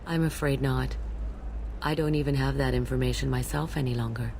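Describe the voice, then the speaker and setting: A woman voicing a solemn, devoted female alien messenger in a video game, speaking in an earnest, calm and reverent way. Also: very deep voice, a little fast, vocal fry, slight background noise. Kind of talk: scripted video game dialogue.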